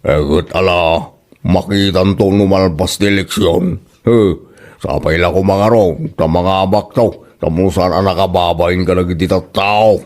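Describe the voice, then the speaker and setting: A man playing a low-voiced, grumbling sceptic. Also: fairly even intonation, a little slow, a little mellow. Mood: sceptical. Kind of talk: Ilocano comedy drama acting.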